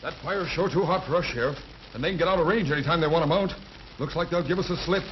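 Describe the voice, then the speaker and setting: An actor playing a commanding, folksy Western sheriff giving orders in a 1930s radio drama. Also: male voice, a little high, fast talker, natural voice. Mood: decisive. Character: commanding Western sheriff rallying his posse. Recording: dull, noisy 1930s radio recording.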